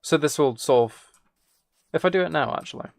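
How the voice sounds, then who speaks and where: A man's voice: fairly high pitch, a little fast, gravelly, slight background noise. A man chatting casually while he plays a game, thinking aloud in a rambling, playfully self-mocking way. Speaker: streamer.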